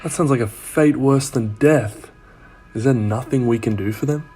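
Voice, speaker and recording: A man playing a level-headed, sceptical police detective with a dry, measured delivery in a fan audio drama. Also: medium pitch, dark tone, soft background music, lightly acted. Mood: concerned.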